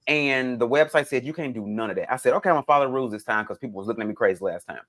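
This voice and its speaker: A man's voice: mid-range voice, racing. A man chatting casually as a podcast co-host, telling stories in a dramatic way and acting out what was said. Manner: animated, dramatic retelling with acted-out quotes. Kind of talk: casual conversational storytelling.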